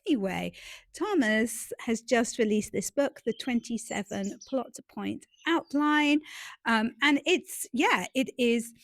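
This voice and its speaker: A woman's voice: fairly high pitch, expressive, slow, creaky, no room sound, studio quality. A woman with a stuffy, nasal voice from a cold, chatting casually and self-deprecatingly to camera outdoors in a garden. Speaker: romance author and YouTuber.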